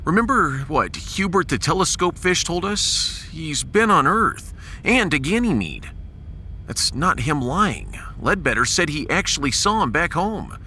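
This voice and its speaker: A man's voice: on the high side, sing-song, a little slow, bright, forceful tone, slight background noise, pitched above the performer's own voice, exaggerated voice. A man playing a firm, decisive starship captain with a dry manner in a science fiction audiodrama. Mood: stern.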